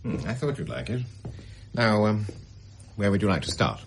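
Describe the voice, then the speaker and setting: An actor playing a middle-aged senior estate agent, deferential and hesitant, hedging with fillers in a radio drama. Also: male voice, lowish voice, sing-song, moderate pace, a little hiss or hum. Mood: nervous. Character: fussy, evasive male senior estate agent.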